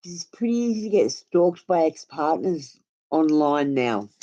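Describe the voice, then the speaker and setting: Woman doing a live tarot reading, low mellow voice, takes her time, chatty and blunt. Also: very animated, unhurried, muffled recording. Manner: blunt, informal, sweary. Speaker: tarot reader and live stream host. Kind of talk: casual live tarot reading, giving advice.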